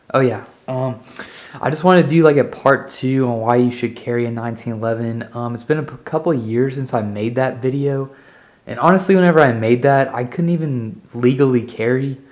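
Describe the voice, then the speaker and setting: A man's voice: mid-range voice, moderate pace, darkish tone. A young man in his early twenties talks loosely and casually to his viewers. He rambles with plenty of ums and restarts and drops the odd swear word along the way. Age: 20s. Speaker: vlogger. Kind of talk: casual gun review vlog.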